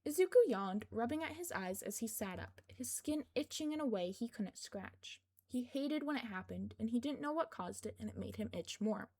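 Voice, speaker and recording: Woman reading a story, slightly creaky voice. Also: a little high, animated, normal speed, hardly any pauses, darkish tone. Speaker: fan fiction podfic reader. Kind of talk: scripted story narration.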